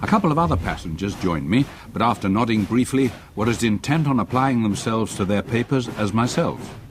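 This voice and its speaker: An actor playing an old English gentleman who narrates his memories in a radio drama, measured, literary and well-spoken. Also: on the low side, expressive, slight background noise, close to an everyday voice. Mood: reflective, fond.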